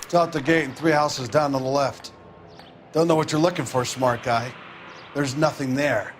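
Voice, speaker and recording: A man's voice: mid-range voice, fairly even intonation, moderate pace, slight background noise, natural voice. A man playing a surly, short-tempered work-site tough in a crime game, with a threatening, dismissive manner. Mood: grudging, surly.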